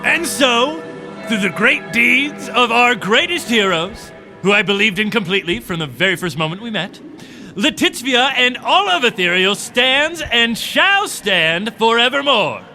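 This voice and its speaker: High, bright, pompous fantasy ruler played by a man. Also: full character voice. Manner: grandiose speechifying with petulant asides. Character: pompous, excitable fantasy kingdom leader giving proclamations. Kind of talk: comedic fantasy audio drama. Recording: music and faint crowd behind.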